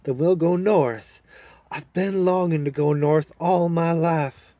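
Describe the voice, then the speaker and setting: A man's voice: fairly high pitch, fairly even intonation, slow, warm tone, no room sound, pitched above the performer's own voice. A man voicing an eager, polite young boy in a storybook reading.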